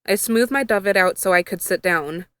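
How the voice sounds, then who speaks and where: Clear-voiced woman reading a story aloud. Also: mid-range voice, normal speed, a bit bright, no echo. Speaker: fan fiction reader. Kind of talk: scripted first-person story narration.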